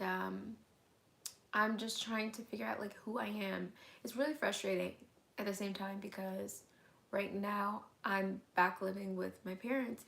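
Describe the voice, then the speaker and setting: A young woman, hoarse and getting over an illness, chatting candidly through a live Q&A at home, answering viewer comments with lots of "um"s and "like"s. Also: medium pitch. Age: young adult. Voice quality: raspy. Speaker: vlogger hosting a live Q&A. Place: at home, indoors.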